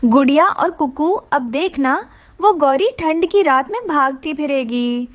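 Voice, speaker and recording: A woman's voice: high-pitched, lively intonation, a little slow, no room sound. A woman voicing a caring but firm mother bird in a children's cartoon, speaking in an earnest, motherly way. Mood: firm, annoyed. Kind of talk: Hindi children's cartoon voice acting.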